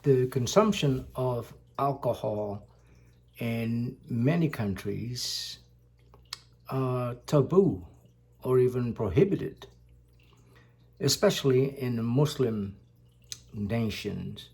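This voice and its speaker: Indonesian-born male vlogger, slow, mellow, halting delivery. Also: medium pitch, gravelly. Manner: slow, halting, many fillers. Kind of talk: casual talk to camera.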